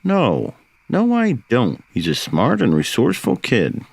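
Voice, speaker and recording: An actor voicing a gruff, calm middle-aged uncle who talks dryly and without fuss. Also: male voice, unhurried, no room sound, exaggerated voice. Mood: dismissive. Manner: low, gravelly, dry and unbothered. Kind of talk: fan dub voice acting.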